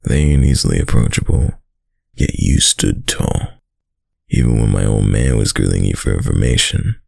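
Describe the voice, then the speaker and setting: Man acting a rumbling, gravel-deep mafia boyfriend. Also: expressive, normal speed, a little mellow, no echo, clean studio sound, heavily acted. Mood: fond reminiscing. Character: dominant, doting young male mafia heir, tough but tender. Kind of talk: intimate boyfriend roleplay ASMR. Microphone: close, dry microphone.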